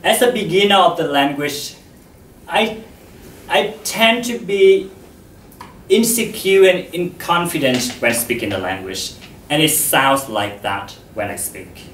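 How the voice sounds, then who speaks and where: A man giving a slow, deliberate public talk to an audience, pausing often, his first language Vietnamese. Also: fairly high pitch, expressive, fairly bright tone, a little hiss or hum. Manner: slow and deliberate, with frequent pauses. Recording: roomy hall, voice from far off.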